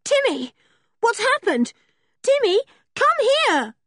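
A woman's voice: high-pitched, lively intonation, moderate pace, darkish tone, no room sound, studio quality, pitched above the performer's own voice. A woman voicing a headstrong young girl, fiercely devoted to her dog, with urgent, exclaiming delivery in a storybook reading. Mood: frantic shouting. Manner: urgent exclamations and demanding questions.

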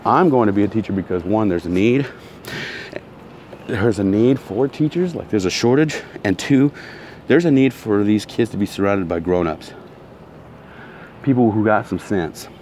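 Man with a very low voice and a throat still recovering from soreness, blunt heated vlog rant, faint street noise. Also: moderate pace, long pauses, a little mellow. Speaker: vlogger. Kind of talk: casual ranting monologue.

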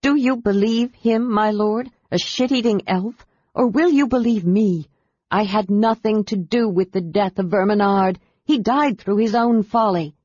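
A woman's voice: medium pitch, slow pace, darkish tone, no room sound, dull audio. A woman voicing a fierce, defiant warrior woman on trial in a fantasy audiobook. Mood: pleading, scornful. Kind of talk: audiobook character voice.

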